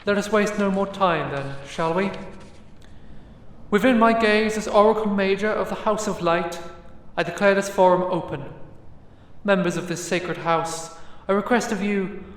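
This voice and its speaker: Man as a pompous fantasy oracle, booming reverb. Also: normal speed, natural voice. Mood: solemn, declamatory. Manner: ceremonial, pompous, declamatory. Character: formal presiding oracle of a fantasy mage council. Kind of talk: audio drama acting. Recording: very echoey hall with long reverb.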